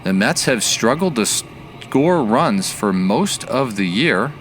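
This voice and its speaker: A man giving baseball play-by-play commentary as the announcer, measured and analytical in his delivery. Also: medium pitch, animated, slight background noise.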